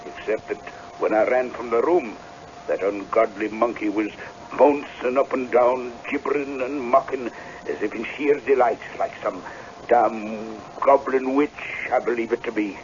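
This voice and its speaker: Old Scottish man, played by an actor, superstitious and fearful, radio drama, mid-pitched, muffled recording with light hiss. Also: lightly acted.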